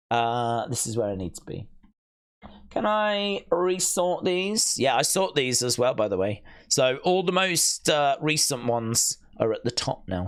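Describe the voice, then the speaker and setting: A man's voice: a little high, a bit bright. Chatty man hosting a casual fan show. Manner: chatty, informal, jokey asides. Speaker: wrestling review show host. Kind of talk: casual chat, reading out viewer comments.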